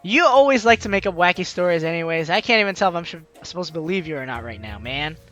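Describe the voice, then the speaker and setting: A man with a bright, lively voice narrates a game in casual let's-play style. He is chatty and expressive, reading aloud and adding asides over faint game music. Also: on the high side, quick pace, dull audio. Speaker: game streamer.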